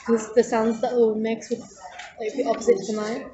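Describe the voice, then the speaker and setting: A woman's voice: a little high, little pitch movement, normal speed, muffled recording. A woman student, chatting casually in a group discussion. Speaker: student planning a radio drama. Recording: clearly noisy room recording.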